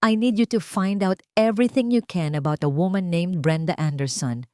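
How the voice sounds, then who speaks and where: A woman's voice: fairly low pitch, normal speed, a little mellow, dry recording, natural voice. Narrator doing a curt, demanding wealthy woman for a storybook drama; dry. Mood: commanding. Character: wealthy woman, cold and commanding.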